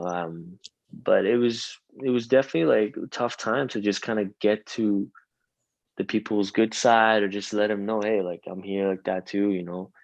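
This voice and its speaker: A man's voice: lowish voice, gravelly, darkish tone, no echo, muffled recording. Rambling young man, dry sound. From Iran. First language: Farsi. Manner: rambling, many fillers and restarts. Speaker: rapper guest. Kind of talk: casual interview chat.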